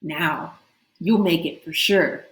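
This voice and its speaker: A woman voicing a brisk, bossy young boy in a fairy tale, with urgent, commanding calls. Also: low-pitched, fairly bright tone, reverberant. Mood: satisfied.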